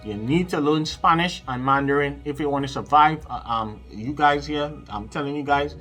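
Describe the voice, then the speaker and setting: Middle-aged man, channel host, bright forceful voice, preachy direct-to-camera advice, home room, faint music under. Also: a little high, moderate pace. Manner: emphatic and preachy, repeats words, addresses viewers directly. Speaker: psychic advisor and channel host. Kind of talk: casual advice monologue to camera. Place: small room at home with decorated shelves.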